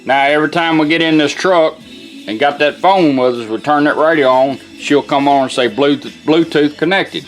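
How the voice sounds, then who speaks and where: A man's voice: fairly high pitch, normal speed, soft background music. A man giving a casual, plainspoken hands-on product demonstration in a folksy way, taking it step by step. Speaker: presenter demonstrating a vintage truck radio. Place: inside a pickup truck cab.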